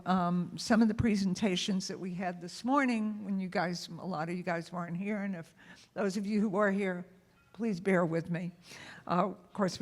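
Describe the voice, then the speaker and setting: A woman in the middle register sums up a conference session in loose, conversational talk. She keeps dropping "you know" between her points and carries a loud voice across the room. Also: mid-range voice. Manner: says she has a loud voice. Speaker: moderator. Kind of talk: conference wrap-up summary.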